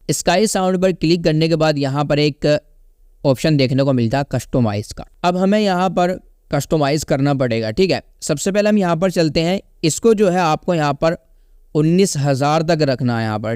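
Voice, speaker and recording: A man's voice: on the high side, a little mellow, clear, resonant tone, dry recording, clean studio sound. A man hosting a casual step-by-step tutorial, instructing and often checking in with the listener. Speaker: tutorial host.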